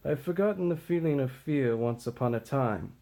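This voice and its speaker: A man's voice: medium pitch, lively intonation, normal speed, dark tone, natural voice. A man playing a bitter, defiant tyrant king facing his doom, declaiming in a brooding, combative way. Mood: bleak, weary.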